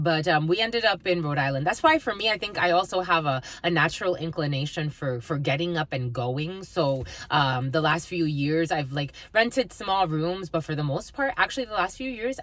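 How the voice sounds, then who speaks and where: Woman, tarot reader, from the US northeast, fairly low voice, rambling, fond of her viewers. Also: animated, normal speed, hardly any pauses, a bit bright, no echo. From northeastern United States. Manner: rambling, many fillers, calls viewers "my lovies". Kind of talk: casual live tarot reading chat.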